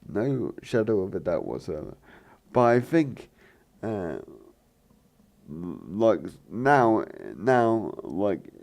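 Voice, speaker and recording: Deep croaky man, rambling gamer talk. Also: very animated, drawn-out words, a little mellow. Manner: hesitant, many fillers and restarts. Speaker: gaming channel host. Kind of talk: rambling let's play commentary.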